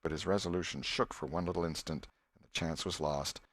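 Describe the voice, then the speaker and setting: A man narrates a story from a script. His voice sits low, and he reads expressively as an audiobook storyteller. The sound is dry and close, with no room echo. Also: steady tone, brisk.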